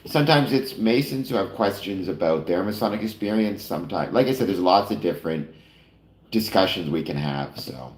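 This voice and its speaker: Low-voiced, rambling man. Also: animated, fairly quick. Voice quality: nasal. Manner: rambling, many fillers and self-corrections. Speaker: live stream host. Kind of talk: casual late-night chat stream, reading viewer comments. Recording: roomy echo.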